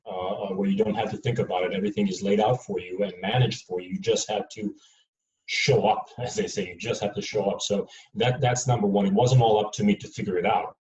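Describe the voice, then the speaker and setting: A man from Serbia answering questions on a live panel, measured and hesitant with many fillers. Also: lowish voice, steady tone, a little mellow. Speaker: panelist, airline employee. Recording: dull, roomy sound from a distant mic.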